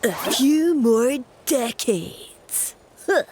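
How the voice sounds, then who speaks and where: A woman voicing a dim, easily startled little mushroom sidekick in a video game, exclaiming in a drawn-out way. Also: on the high side, animated, a little mellow, a little hiss or hum. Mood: gleeful, dim. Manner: exclamatory, slow drawn-out delivery. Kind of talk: cartoonish video game voice acting.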